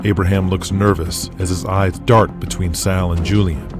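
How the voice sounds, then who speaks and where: A man's voice: deep voice, fairly even intonation, moderate pace, music in the background. A man delivering dramatic scripted narration as the narrator of an audio drama.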